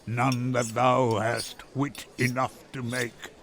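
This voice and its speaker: An older man from India voicing a vengeful old moneylender, cold and deliberate, in a dramatic Shakespeare reading. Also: medium pitch, little pitch movement, a bit bright. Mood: cold scorn. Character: grim, unyielding, vengeful old male moneylender.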